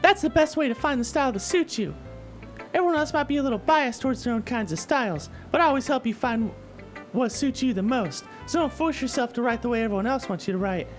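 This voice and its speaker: Visual novel club leader, played by a woman: teenage girl, high-pitched, upbeat, encouraging, light music underneath. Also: animated, quick pace, natural voice. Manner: cheery, chatty, gently coaching. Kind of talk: visual novel character dialogue read aloud. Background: soft background music.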